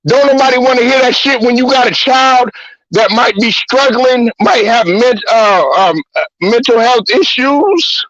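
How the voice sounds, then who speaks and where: High-voiced man ranting bluntly. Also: animated, unhurried, fairly bright tone, dry recording, lo-fi sound. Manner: blunt, repetitive, heated. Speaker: host. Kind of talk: profane sports-talk rant.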